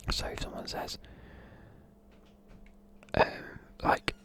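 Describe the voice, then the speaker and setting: Whispering man. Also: takes it slowly. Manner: soft unvoiced whisper, chatty and rambling. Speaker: ASMR creator. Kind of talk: whispered ASMR explaining slang.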